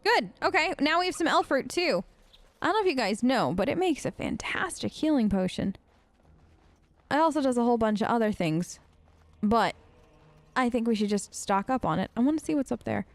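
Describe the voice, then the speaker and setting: High-voiced woman chatting through gameplay. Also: lively intonation, a little fast, dry recording. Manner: chatty, often talks to herself and to the characters. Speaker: let's play host. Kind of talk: casual gameplay commentary with role play.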